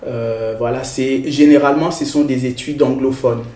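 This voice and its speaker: Hesitant man from the Congo, mellow voice. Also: mid-range voice, steady tone. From Democratic Republic of the Congo. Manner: hesitant, many filler sounds, measured. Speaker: guest, researcher and lecturer. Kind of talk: interview answers. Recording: roomy echo.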